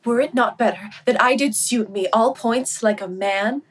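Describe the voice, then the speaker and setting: Woman as high-voiced young court noblewoman. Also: unhurried. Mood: anxious, plucky. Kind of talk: Shakespearean radio play acting.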